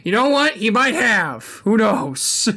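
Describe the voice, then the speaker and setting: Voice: medium pitch, very animated, slow pace, bright, forceful tone. A game streamer chatting casually on a live stream, thinking aloud hesitantly with mild swearing. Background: music.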